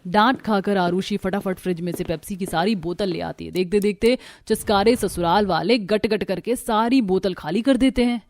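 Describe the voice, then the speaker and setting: A woman's voice: medium pitch, moderate pace, hardly any pauses, darkish tone, no room sound. A woman narrating a scripted cartoon family story.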